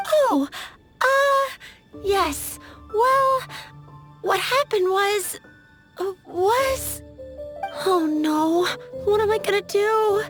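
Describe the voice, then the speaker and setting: An actress playing an anxious, lovesick young flower seller who stammers when flustered. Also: female voice, very high voice, lively intonation, very slow, long pauses, a bit bright, full character voice. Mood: panicked. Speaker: flower shop owner. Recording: game cutscene with faint music behind.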